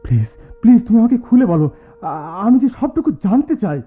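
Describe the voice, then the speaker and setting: A man's voice: very high voice, very animated, fairly quick, husky, exaggerated voice. An actor playing a distraught, pleading young man in theatrical radio drama style, with stammered repetitions. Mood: pleading, soothing. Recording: tinny, phone-like old radio drama recording, music.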